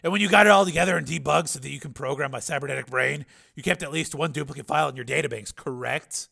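A man voicing a hard, bitter cyborg man in an audiobook, gravelly and forceful, pressing with challenging questions. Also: a little high, fast talker, pitched above the performer's own voice, heavily acted. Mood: probing, sharp.

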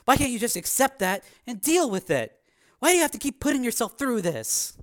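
A man's voice: very high voice, big pitch swings, brisk. A man voicing a tough, devoted young woman who speaks bluntly and earnestly in audiobook dialogue. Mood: exasperated, insistent.

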